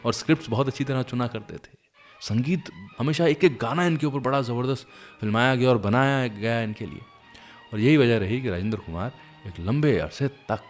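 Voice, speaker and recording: A man hosting a music show, telling anecdotes in a chatty, conversational way straight to his listeners. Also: medium pitch. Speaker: radio show host. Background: music playing behind the voice.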